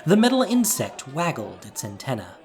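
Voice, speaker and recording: A man's voice: medium pitch, huge pitch swings, moderate pace, a little mellow. A man giving a dramatic, scripted narration of an adventure story as the narrator of an audio drama.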